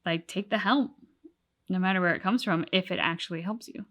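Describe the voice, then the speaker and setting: Woman co-host, lowish, sharp-toned voice, reflective reaction chat. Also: fairly quick. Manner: thoughtful, analytical, with frequent "like" fillers. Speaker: co-host of a game reaction channel. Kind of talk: casual discussion reacting to game cutscenes.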